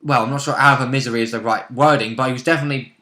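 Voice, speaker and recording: A man's voice: medium pitch, steady tone, racing, bright, forceful tone. Wry man in an echoey room. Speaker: player in a tabletop roleplaying game. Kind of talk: casual chat about the game. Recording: roomy, echoing indoor sound.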